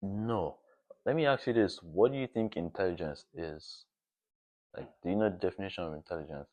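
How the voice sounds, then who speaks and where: Deep-voiced young man chatting informally. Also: fairly quick, mellow. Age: young adult. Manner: informal, frequent "like" as filler. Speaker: podcast guest. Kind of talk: casual chat.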